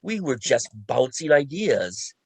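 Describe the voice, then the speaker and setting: A man's voice: medium pitch, very animated, slow pace, breathy edge, dry recording. A man talking casually on a panel as a co-writer, often repeating himself and restarting phrases as he recounts a creative process. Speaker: radio play co-writer and panelist.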